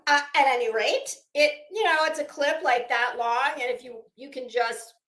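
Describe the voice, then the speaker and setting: A woman's voice: high-pitched, normal speed, a bit bright. A woman chatting casually as a livestream host, rambling and theatrical, often breaking off mid-thought and starting again. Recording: echoey room sound.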